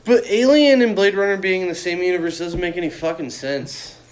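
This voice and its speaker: A man's voice: high-pitched, a little fast, slight background noise, low-quality recording. A man co-hosting a podcast, chatting casually about films in a blunt, contrarian, sweary manner. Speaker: podcast host. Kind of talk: casual film-fan chat.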